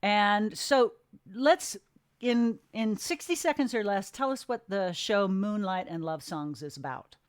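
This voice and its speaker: A woman hosts a panel interview in a loose, chatty style. Her voice sits at a medium pitch, and her phrasing is informal and halting. The sound is close and dry. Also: lively intonation, no echo. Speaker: interview host. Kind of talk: casual, chatty panel hosting.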